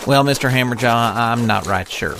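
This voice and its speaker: A man's voice: mid-range voice, normal speed, a bit bright, slight background noise, lightly acted. A man playing a good-natured, skeptical western lawman fish in a comic audio drama, with folksy, hesitant phrasing. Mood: cautious.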